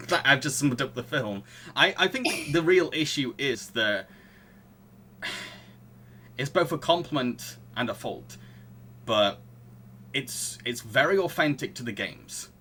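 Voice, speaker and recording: A man's voice: medium pitch, expressive, a little slow, pauses often, bright, forceful tone, a little hiss or hum. A man hosting a casual podcast discussion, often chuckling as he talks. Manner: chuckles often while talking. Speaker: podcast host.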